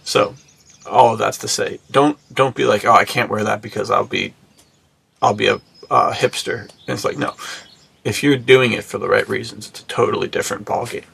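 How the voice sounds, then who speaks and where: A man's voice: lowish voice, moderate pace, creaky, fairly bright tone, slightly rough. An American man co-hosting a podcast in a casual, slangy, rambling way, slipping into imagined remarks as he goes. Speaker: podcast co-host. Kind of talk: casual chat.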